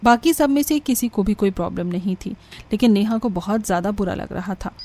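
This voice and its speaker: A woman's voice: mid-range voice, brisk, a little mellow. A woman reading scripted narration for a cartoon story.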